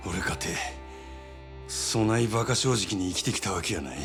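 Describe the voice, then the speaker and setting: A man playing a fierce, defiant yakuza in a Japanese video game, rough-spoken and intense, with a Kansai accent. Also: lowish voice, fast, natural voice. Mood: grave, reflective. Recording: loud music over game dialogue.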